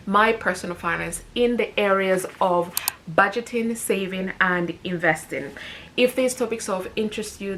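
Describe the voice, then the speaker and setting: A woman's voice: fairly low pitch, fairly bright tone, a little hiss or hum. Chatty woman in her thirties, self-correcting. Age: 30s. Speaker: personal finance YouTuber. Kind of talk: casual talk to camera.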